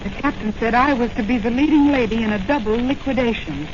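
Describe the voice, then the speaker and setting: On a muffled, noisy vintage recording, a woman plays a young captive heiress. Her voice is high, plucky and defiant, in the earnest style of a 1940s radio adventure serial. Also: fast, natural voice. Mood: anxious, curious.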